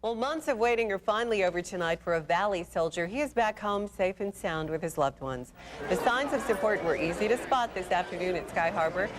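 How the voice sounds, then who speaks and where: A woman reading a news story in a steady newsreader delivery. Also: medium pitch, a little fast, slight background noise. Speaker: news anchor.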